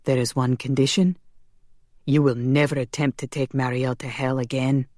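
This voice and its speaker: A woman's voice: a bass voice, little pitch movement, normal speed, darkish tone, dry recording, clean studio sound. A woman voicing a stoic, lovelorn and resolute man with old-fashioned wording in an audiobook, speaking in a subdued manner.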